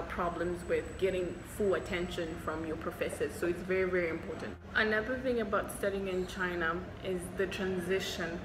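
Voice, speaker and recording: Brief: young Zimbabwean woman doctor, lowish voice, casual vlog Q&A, echoey room. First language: Shona. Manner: chatty and candid, frequent ums. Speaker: doctor and vlogger. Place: hospital, indoors. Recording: echoey room with background noise.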